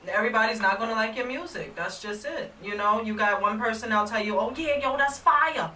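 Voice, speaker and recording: A young woman with a bright, forceful voice chats casually in slang. She sits slightly back from the desk mic. The small studio sounds echoey. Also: on the high side, a little fast, far from the mic, slight background noise. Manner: agreeable, chatty, slangy. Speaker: co-host of a music talk show. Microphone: desk microphone. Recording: echoey room, a bit off mic.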